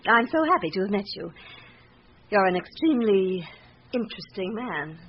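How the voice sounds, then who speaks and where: A woman's voice: medium pitch, expressive, normal speed, close to an everyday voice. A woman playing an elegant, gushing society wife of about forty in a radio drama. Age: middle-aged. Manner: gushing, arch, sociable. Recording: dull old radio recording, faint noise.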